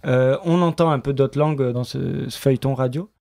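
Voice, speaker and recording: A man being interviewed on radio as a drama writer, explaining his work in a halting, unscripted way. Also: medium pitch, fairly even intonation, vocal fry, darkish tone. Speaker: guest, radio drama writer and studio member.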